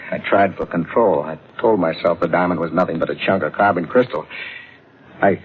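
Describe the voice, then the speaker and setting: An actor playing a guilt-ridden, nervous murderous thief in an old-time radio crime drama, tense, faltering and dramatic. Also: male voice, sits low, fairly quick, slight background noise, natural voice. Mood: haunted narrating. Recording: tinny vintage radio recording with music.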